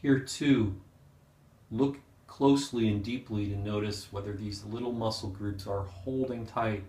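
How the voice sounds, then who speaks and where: A man leading a guided meditation as a teacher, speaking gently and unhurriedly with pauses. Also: lowish voice, fairly even intonation. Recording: roomy, echoing sound.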